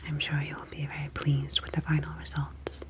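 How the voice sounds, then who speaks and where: Woman doing calm alien scientist for asmr roleplay, whispery n super even, reassuring, clinical. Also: low voice, lightly acted. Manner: soft, slow, deliberate, reassuring.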